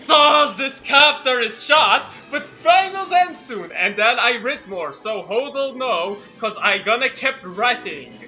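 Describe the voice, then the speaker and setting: A man's voice: extremely high pitch, expressive, slow, few pauses, pushed and bright, soft background music, rough recording. A young man on an online group call, chatting casually and reading his own writing aloud. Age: young adult. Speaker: fanfic author joining the reading.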